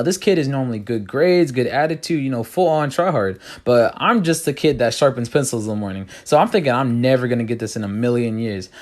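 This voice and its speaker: A young man telling comic storytime tales from his childhood, animated and expressive with free cursing. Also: medium pitch. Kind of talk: comedic storytime narration.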